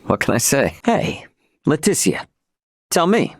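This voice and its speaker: Brash young captain, studio-dry voice. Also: male voice, on the high side, wildly swooping pitch, a little slow, no echo, exaggerated voice. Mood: casual, teasing. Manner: informal, slangy, plain-spoken. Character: brash, casual young starship captain, cocky but loyal man. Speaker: video game voice actor. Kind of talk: English game dub character dialogue.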